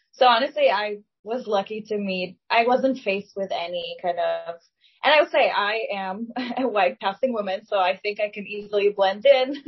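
A woman from Lebanon speaks English as her second language in a husky, mid-pitched voice. She is reflective and measured, qualifying her points as she goes in an interview conversation. The audio is somewhat dull and lo-fi. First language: not English (English is second language). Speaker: guest.

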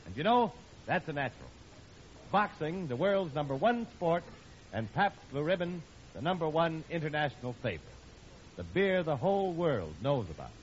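An American male announcer from old-time radio, selling with polish and emphasis. His fairly high voice moves in lively swoops. The recording is dull with faint noise. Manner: polished, emphatic salesman delivery. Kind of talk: 1940s radio advertising announcing.

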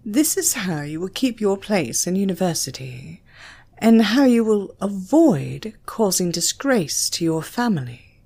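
A woman's voice: medium pitch, sing-song, unhurried, vocal fry, warm tone, breathy edge, exaggerated voice. A woman with a British accent voicing a bold, amused, commanding female lecturer in an audio story, matter-of-fact and teasing.

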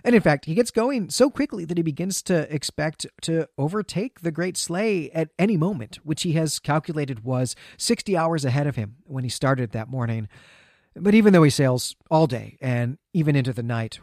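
A man's voice: a little high, animated, normal speed, a little mellow, no room sound, studio quality. A man from Chicago co-hosting a podcast, recapping and commenting on a story in an engaged, explanatory, conversational way. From Chicago, United States. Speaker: podcast host.

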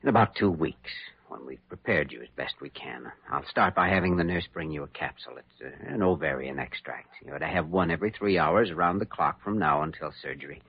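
An actor playing an earnest, resolute young surgeon in an old radio drama, speaking in a measured, grave way. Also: male voice, extremely low pitch, creaky, breathy edge, heavily acted. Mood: grave, guarded. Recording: muffled old radio recording.

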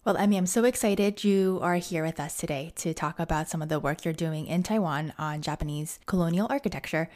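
A woman's voice: deep voice, a little fast, few pauses, darkish tone. A woman hosting an interview podcast, introducing the show and her guest. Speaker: podcast host.

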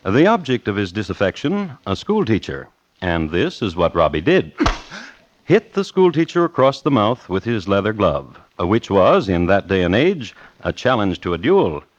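An actor playing a dry, deliberate crime connoisseur who hosts and narrates an old-time radio show. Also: male voice, fairly low pitch, big pitch swings. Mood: wry, deliberate. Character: dry, deliberate male crime connoisseur telling murder tales. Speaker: host and narrator. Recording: dull vintage radio recording.

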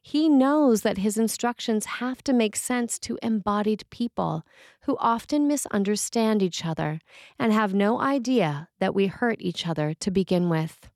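A woman preacher reading a written sermon in a measured, reflective and earnest way. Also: mid-range voice, animated, darkish tone, no echo, clean studio sound.

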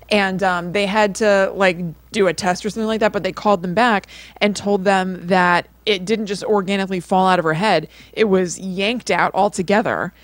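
A woman's voice: mid-range voice, no echo. Chatty, wryly playful woman telling a story. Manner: rambling, wry, playful teasing. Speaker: podcast co-host.

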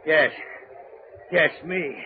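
A man's voice: fairly high pitch, extremely slow. An actor playing a cruel, sneering young fisherman in an old radio drama. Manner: sneering, gravelly. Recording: tinny old radio broadcast with hiss.

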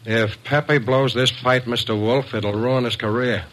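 An actor in a classic radio drama playing a worried, plain-spoken middle-aged boxing manager, earnest and slangy. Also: male voice, medium pitch, steady tone, unhurried, fairly bright tone, lightly acted, rough recording. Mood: urgent. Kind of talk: 1940s-50s radio drama acting.

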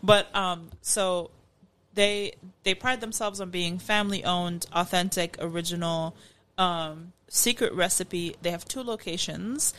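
A woman's voice: lowish voice, measured pace, a bit bright. A woman co-hosting a casual food-review chat, explaining background details with many "like" and "um" fillers. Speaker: podcast co-host.

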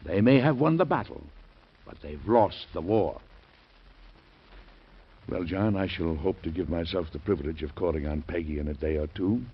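A stubborn old president. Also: male voice, deep voice, expressive, normal speed, long pauses, breathy edge, heavily acted. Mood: resigned. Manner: defiant, blunt. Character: stubborn older male president, combative old soldier. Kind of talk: 1940s radio acting. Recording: dull lo-fi old radio recording.

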